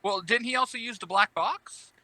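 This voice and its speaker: A man with a strikingly bright, slightly raised voice is the guest on a podcast. The sound is dry and his style is casual fan chat. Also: sits high, moderate pace, no room sound.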